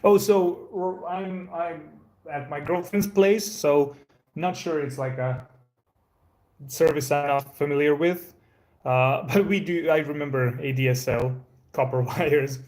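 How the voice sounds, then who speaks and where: A Croatian man whose English carries an American-sounding accent, in a slightly higher register than most men. He hosts a casual live stream, rambling along at an easy pace, stumbling over restarts and filling gaps with "uh" and "like." He talks from indoors at a home. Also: a little slow, a little mellow. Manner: rambling, laid-back, many fillers and restarts. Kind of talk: casual live-stream chat answering viewers.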